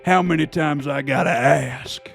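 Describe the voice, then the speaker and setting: A man's voice: fairly high pitch, unhurried, vocal fry, soft background music. A man voicing a crude, surly cowboy sheriff with an American Western drawl in a video game. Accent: put-on American Western drawl.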